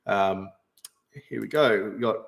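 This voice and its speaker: A man's voice: fairly low pitch, unhurried, gravelly. A man, hosting a casual live stream and answering chat questions with many fillers and restarts. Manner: rambling, frequent fillers and restarts. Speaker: livestream host answering chat.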